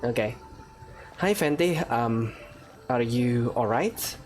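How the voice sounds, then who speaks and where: Deep-voiced woman chatting over a game. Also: expressive, slow, darkish tone, soft background music. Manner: chatty, hesitant with fillers, joking. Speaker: gameplay video creator. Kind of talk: casual commentary over a game.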